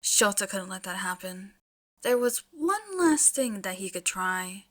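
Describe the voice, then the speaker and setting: A woman reading fiction aloud as an expressive audiobook-style narrator. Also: medium pitch, measured pace. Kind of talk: expressive storytelling narration with casual asides.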